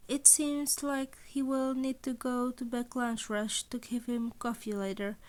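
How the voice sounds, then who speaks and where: A woman narrates a piece of fiction in a fairly high voice. Her reading is scripted and takes its time, moving slowly through the prose. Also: level tone, a little mellow.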